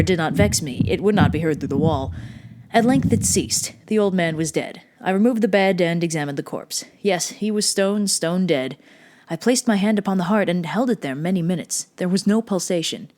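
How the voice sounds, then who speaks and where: A woman's voice: lowish voice, normal speed, a little mellow, natural voice. A woman voicing a nervous, manic murderer in a theatrical, precisely worded dramatic reading of a Gothic horror story. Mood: agitated. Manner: theatrical, intense, precise old-fashioned phrasing. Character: nervous, manic murderer insisting on their sanity.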